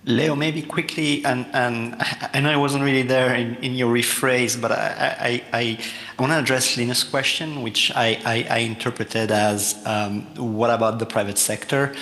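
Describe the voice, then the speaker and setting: A man's voice: mid-range voice, fairly even intonation, moderate pace, fairly bright tone. A man answering on a conference panel, stumbling on repeated words as he starts sentences. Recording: echoey conference hall.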